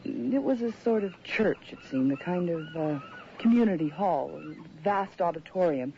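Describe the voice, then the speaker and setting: A woman's voice: lowish voice, lively intonation, normal speed. An actress playing a sensitive, imaginative young woman writer in a radio drama, with an uneasy manner. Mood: uneasy, awed. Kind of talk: radio drama acting with first-person narration. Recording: muffled, noisy old radio drama recording.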